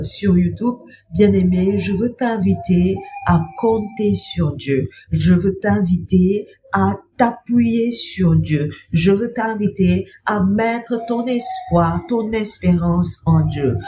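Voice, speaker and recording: Slow-speaking woman with a cold. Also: mid-range voice, rough recording. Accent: French. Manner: slow, gentle, repeats "bien-aimé" and pauses with "euh". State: has a cold. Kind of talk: devotional talk to camera.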